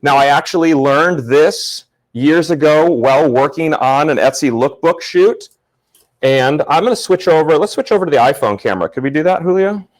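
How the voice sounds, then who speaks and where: A young man from Florida with a loud voice, teaching a casual live photography workshop and talking to viewers in the chat. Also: a little high, expressive, moderate pace. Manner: casual, upbeat, talks to chat. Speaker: photography instructor.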